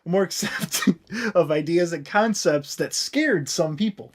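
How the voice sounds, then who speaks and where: Guy hosting a comedy podcast, voice a bit high, swears a lot, jokey and irreverent. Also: a little slow. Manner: profane, irreverent, joking. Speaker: podcast host. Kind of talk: casual comedic chat.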